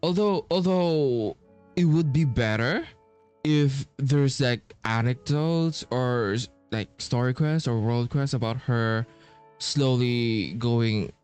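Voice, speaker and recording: Slow, halting man commentating casually on a game. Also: mid-range voice, darkish tone, smooth. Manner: halting, rambling, slangy. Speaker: game streamer. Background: video game music.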